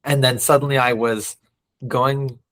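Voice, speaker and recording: A man in his thirties who grew up between the US and Israel, a podcast guest telling stories in a reflective, halting way. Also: mid-range voice, steady tone, fairly bright tone. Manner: reflective, many restarts and fillers. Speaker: guest, nonprofit CEO. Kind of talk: interview.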